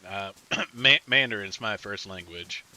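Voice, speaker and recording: A man talks through his game session in loose, rambling chat with his squad. His voice sits fairly low but has a hard, very bright edge. He sounds chatty and unhurried. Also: poor audio. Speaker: streamer. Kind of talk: casual gaming chat with teammates.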